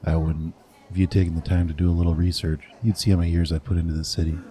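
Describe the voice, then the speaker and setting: Casting: actor as a blunt veteran cop, deep and dark-toned. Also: male voice, little pitch movement, quick pace, lightly acted. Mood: gruff. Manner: dry, blunt. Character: veteran male detective, gruff, wary.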